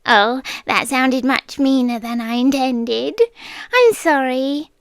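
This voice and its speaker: Slow, high-voiced British deer-spirit girl acted by a woman. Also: dry recording. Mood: sweetly teasing. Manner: coy, teasing, breathy whispers mixed with soft speech. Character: girlish deer-spirit forest monster, sweetly menacing, possessive. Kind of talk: ASMR roleplay. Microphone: close microphone.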